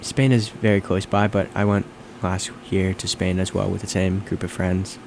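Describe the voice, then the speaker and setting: Low-voiced young man chatting over background noise. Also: flat delivery, moderate pace, vocal fry, a little mellow. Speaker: conversation leader asking questions. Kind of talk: casual chat. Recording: noisy room with air-conditioning hum.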